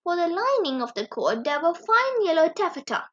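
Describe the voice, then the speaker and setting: Woman, children's storyteller, high-pitched, very expressive swooping intonation, muffled lo-fi recording. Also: moderate pace. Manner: expressive, animated delivery. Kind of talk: children's story read-aloud. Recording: dull lo-fi recording.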